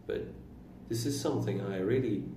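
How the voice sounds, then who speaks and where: A man in his twenties whose first language is Turkish, talking slowly about his own life in a halting way, pausing and correcting himself. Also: fairly low pitch. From Iran. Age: 20s. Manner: slow, halting, with pauses and self-corrections. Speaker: vlogger. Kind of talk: reflective personal monologue. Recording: roomy, distant mic with faint room noise.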